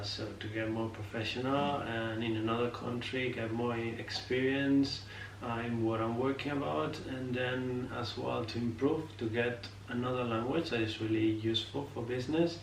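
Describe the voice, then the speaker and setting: A man's voice: mid-range voice, fairly even intonation, normal speed. A young man from Spain with a Spanish accent, chatting casually on a vlog and hesitating often as he hunts for words in his second language. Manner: hesitant, many filler sounds, apologetic about his English. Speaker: vlogger. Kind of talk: casual chat. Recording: echoey room, mic set far off, faint noise.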